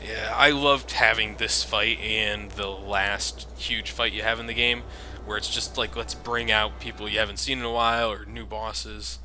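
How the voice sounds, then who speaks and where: A man's voice: fairly low pitch, flat delivery, moderate pace, sharp tone, slight background noise. A man giving casual video game commentary in an informal, sweary way. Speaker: gameplay commentator. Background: game music and sound.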